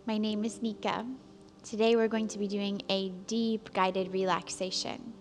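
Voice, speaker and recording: Slow, even-toned woman guiding relaxation. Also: mid-range voice. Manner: calm, soothing, gentle instructions with long pauses. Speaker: relaxation instructor. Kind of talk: guided relaxation meditation. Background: soft background music.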